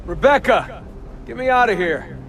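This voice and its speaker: A man's voice: high-pitched, very animated, moderate pace, creaky, a bit bright, exaggerated voice. A male actor playing a bewildered, frustrated young man trapped in a simulation, blunt and alarmed, in a video game. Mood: panicked. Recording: echoey, distant, music behind.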